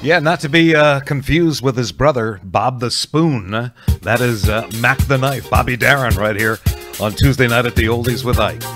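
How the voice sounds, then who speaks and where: A man hosting an oldies radio show, chatting casually and folksily between songs with frequent pauses. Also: medium pitch, fairly bright tone. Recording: music playing under the voice.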